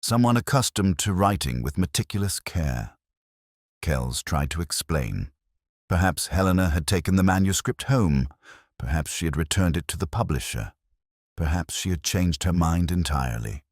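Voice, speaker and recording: An extremely low-voiced man narrates a first-person mystery with measured, reflective phrasing. His pitch rises and falls expressively through each literary sentence. The sound is dry, with hardly any room around it. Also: expressive, dry recording. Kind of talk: scripted first-person detective story narration.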